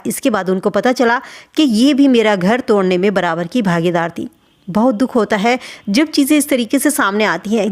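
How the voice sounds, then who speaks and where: A woman's voice: medium pitch, darkish tone. A woman hosting a video channel, giving gossip commentary in a reproachful, questioning way, addressing people directly. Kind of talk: spoken commentary on vlogger gossip.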